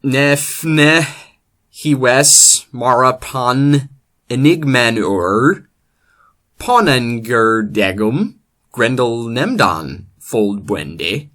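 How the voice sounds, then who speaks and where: A man playing a solemn bard who declaims epic verse in a slow, loud, drawn-out recitation. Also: medium pitch, expressive. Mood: solemn, dramatic. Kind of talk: dramatic Old English verse recitation.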